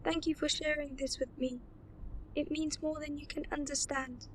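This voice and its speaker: A woman's voice: sits high, flat delivery, normal speed, slight background noise, natural voice. A woman voicing a sincere, earnest female alien. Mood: grateful.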